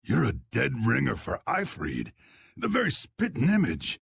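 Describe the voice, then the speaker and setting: A man voicing a gruff, commanding old guild boss in a video game, folksy, drawling and stubborn, with a gravelly, husky voice. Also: mid-range voice, wildly swooping pitch, moderate pace, dry recording, full character voice. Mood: amused, surprised. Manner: folksy, drawling, plain-spoken. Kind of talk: video game dub acting.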